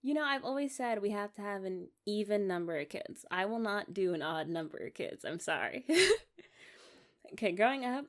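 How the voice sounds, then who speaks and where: A woman's voice: mid-range voice, animated, normal speed, natural voice. A young American woman playing an eager, affectionate wife in an intimate ASMR romance roleplay, rambling and coaxing in a giggly way. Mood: playful, musing.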